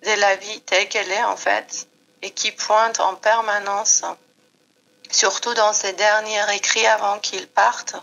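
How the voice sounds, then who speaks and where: Woman, Persian accent, slow and hesitant, bright voice, slightly dull recording. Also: medium pitch, level tone, creaky. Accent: foreign accent in French. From Iran. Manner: hesitant, many pauses and fillers. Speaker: guest. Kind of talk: slow reflective interview talk.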